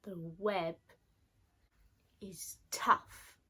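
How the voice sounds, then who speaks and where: A woman reading a children's picture book aloud in short, deliberate, expressive phrases. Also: medium pitch, slightly rough. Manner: slow, deliberate phrasing in short broken chunks.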